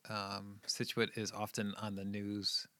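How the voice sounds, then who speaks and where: A man's voice: fairly low pitch, monotone, measured pace, bright, forceful tone, dry recording. A middle-aged man talking casually and earnestly about his schooling and family, often trailing off. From New England, USA. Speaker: guest. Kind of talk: casual conversation.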